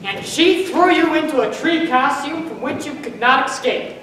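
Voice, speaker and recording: A woman's voice: sits high, animated, moderate pace, a bit bright. A teenage girl narrating a comic story dramatically as a student performer in readers theater. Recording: echoey room, distant mic, background noise.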